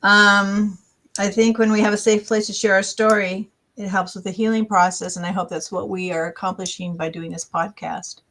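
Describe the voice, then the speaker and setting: A woman podcast co-host and coach speaking in a calm, measured way, with supportive reflections. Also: medium pitch. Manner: calm and measured, talks in affirmations. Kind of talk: casual chat.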